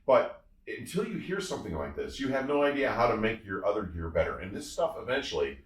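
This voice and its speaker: A man's voice: fairly low pitch, sing-song, a little fast. A man reviewing audio gear in casual, enthusiastic talk, emphatic and effusive, often repeating his phrases. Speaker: audio reviewer and show host. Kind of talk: casual enthusiastic product discussion. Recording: roomy, somewhat distant from the mic.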